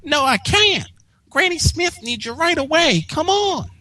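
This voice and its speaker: A man's voice: very high voice, sing-song, measured pace, pushed and bright, no echo, pitched above the performer's own voice, heavily acted. A man voicing a cute, eager young girl farm pony, chirpy and pushy. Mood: urgent, pushy.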